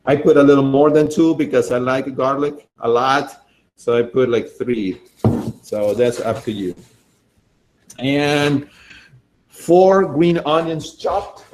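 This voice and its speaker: Guy showing a recipe, talks slow, chatty and excited, mid pitch, bit of background noise. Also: poor audio. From Argentina. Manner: chatty and enthusiastic, thinking aloud with fillers. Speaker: cooking demonstrator. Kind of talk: casual cooking demonstration.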